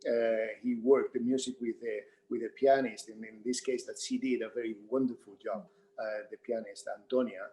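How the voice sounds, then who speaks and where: A middle-aged man with a Spanish accent, a theatre director answering in an unscripted Q&A, speaking English as a second language in halting, repeated phrases. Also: medium pitch, fairly even intonation, dark tone. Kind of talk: unscripted panel Q&A talk.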